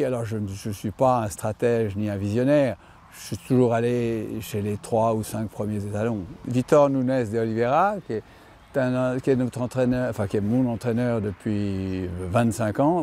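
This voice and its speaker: An older man who owns and breeds horses, answering interview questions with many pauses and restarts. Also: mid-range voice, dark tone, a little hiss or hum. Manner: hesitant, many fillers and restarts. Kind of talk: interview reply.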